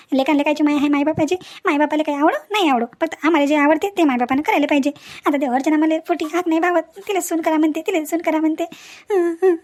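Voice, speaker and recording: Woman voicing a high, fast-talking aggrieved village mother. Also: darkish tone, natural voice. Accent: Varhadi Marathi from Vidarbha, Maharashtra. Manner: complaining, repetitive rustic wailing talk. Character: defensive, self-pitying rural mother, aggrieved and grumbling. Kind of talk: cartoon family comedy dialogue.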